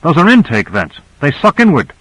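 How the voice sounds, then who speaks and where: Man acting anxious submarine pilot, pitched up. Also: wildly swooping pitch, moderate pace, slightly rough, no room sound, full character voice, rough recording. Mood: anxious, frustrated. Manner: urgent, anxious. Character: anxious male submarine pilot, agitated by trouble.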